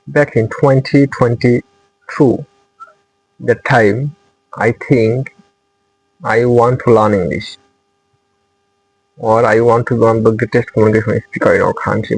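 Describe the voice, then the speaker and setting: A young Bangladeshi man, Bengali by birth, speaks English with a clear Bangladeshi accent. His voice is husky and rough, with a gravelly edge at the ends of phrases. He hosts his channel with an earnest, repetitive motivational delivery. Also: on the low side, little pitch movement, measured pace, long pauses, rough recording. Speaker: English-learning channel host. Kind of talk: motivational talk to camera.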